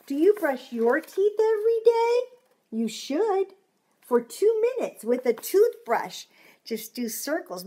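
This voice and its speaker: Middle-aged female storytime reader, high voice, unhurried, encouraging and chatty with little listeners. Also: a little mellow. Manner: encouraging, asking the listener simple questions. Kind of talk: read-aloud storytime with chatty asides to young children. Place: small home room, indoors.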